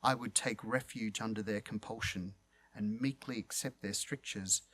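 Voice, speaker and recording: A man reading a prepared literary talk aloud as a speaker and host, in measured, formal and eloquent phrasing. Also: lowish voice, steady tone, fairly bright tone, husky.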